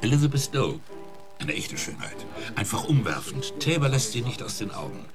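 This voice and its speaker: Man playing a wildly animated cynical newspaperman. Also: mid-range voice, moderate pace, close to an everyday voice. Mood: sardonic. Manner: blunt, sardonic. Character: cynical, hard-drinking newspaper reporter. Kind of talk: radio play acting. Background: music.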